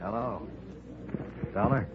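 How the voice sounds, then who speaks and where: Bitter jailbird, coolly threatening, low-pitched, old-time radio drama, narrow tinny sound. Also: male voice, slow pace. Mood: sardonic. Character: menacing, bitter male ex-convict bent on revenge. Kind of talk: 1950s radio crime drama acting. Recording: thin, noisy vintage radio recording.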